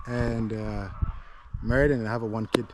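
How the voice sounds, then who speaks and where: Young man, from Tanzania, business owner guest, relaxed, plain-spoken, noisy outdoor recording. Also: fairly low pitch, normal speed. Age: young adult. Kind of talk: casual interview.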